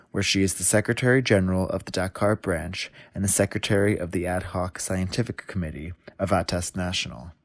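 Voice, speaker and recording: A man reading a formal, scripted introduction of a guest in a measured way. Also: low-pitched, no room sound, clean studio sound. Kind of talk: scripted narration.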